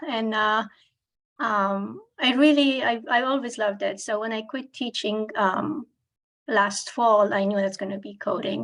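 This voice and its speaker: Higher-pitched woman, chatty and informal. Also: a bit bright. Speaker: coding program participant. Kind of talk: casual self-introduction on a group call.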